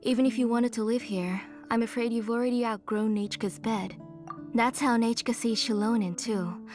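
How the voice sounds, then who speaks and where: A woman voicing a gentle, weary, middle-aged mother and auntie, fond and apologetic, in an anime game. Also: a little high, fairly even intonation, normal speed, lightly acted. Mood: fond, nostalgic. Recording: game music behind.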